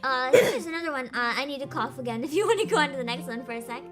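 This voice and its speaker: Brief: high-pitched, lively woman streamer, giggly storyteller, with music playing under her voice. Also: fast. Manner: giggly, laughs often, animated storytelling. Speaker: VTuber streamer host. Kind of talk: casual chat stream.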